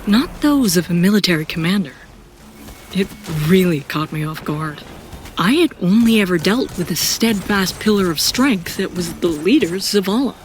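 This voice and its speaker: A woman's voice: mid-range voice, a little hiss or hum, natural voice. A woman as a reflective warrior narrator. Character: introspective seasoned warrior narrating her own story. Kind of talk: first-person scripted audio drama narration.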